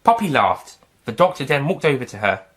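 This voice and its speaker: Male narrator, steady husky read, wide pitch swings. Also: fairly quick. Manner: plain, steady reading. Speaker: narrator and writer. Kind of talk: reading a fan story aloud.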